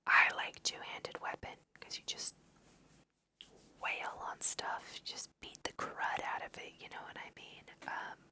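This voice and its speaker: A woman whispering casual, chatty ASMR commentary over a video game, thinking aloud in a breathy voice. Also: measured pace, bright, forceful tone. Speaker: host of an ASMR let's play.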